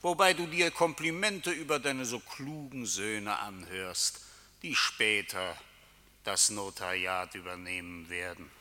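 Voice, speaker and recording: An actor playing a sinister, taunting accuser who knows everyone's secrets, declaiming in a theatrical radio play. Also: male voice, on the low side, unhurried, sharp tone. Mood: sardonic coaxing. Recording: long hall-like reverb.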